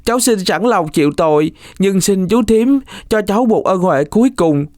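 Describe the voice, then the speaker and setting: A man acts out a mischievous young boy from a folk tale cartoon. The voice is pitched high and swings widely as he calls out and pleads with exaggerated urgency. The recording is dry and close. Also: expressive, moderate pace, darkish tone, no room sound. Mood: pleading. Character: mischievous lying orphan boy, pleading and crying out.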